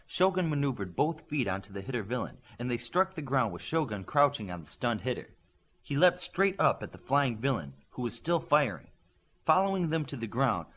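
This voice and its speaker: A man reading a novel aloud as a scripted audiobook narration, with expressive delivery. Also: mid-range voice.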